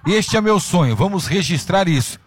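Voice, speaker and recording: A man's voice: high voice, lively intonation, moderate pace, slight background noise. A Brazilian man hosting a football talk show, playful and upbeat, teasing his guest. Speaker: host. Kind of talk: casual studio talk.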